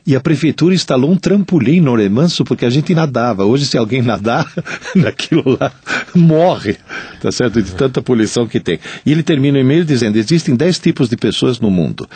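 A man's voice: medium pitch, expressive, brisk, a little mellow, breathy edge, no echo. A man co-hosting a tech chat show, reading listener mail in a chatty, joking way, with an Italian-tinged São Paulo interior accent. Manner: chatty, jokey, reads listener emails aloud. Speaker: podcast co-host.

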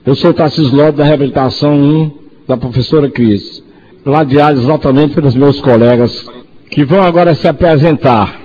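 A man's voice: fairly high pitch, moderate pace, a little mellow. A 66-year-old Brazilian man presenting a community radio talk show. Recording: dull lo-fi audio, faint noise.

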